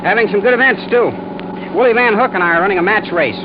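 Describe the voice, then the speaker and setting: An American actor playing an evasive, boyish sports car dealer in 1950s radio drama, hesitant and stammering yet eager. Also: male voice, sits high, quick pace, close to an everyday voice. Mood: eager, casual. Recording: muffled, noisy old radio with motor hum.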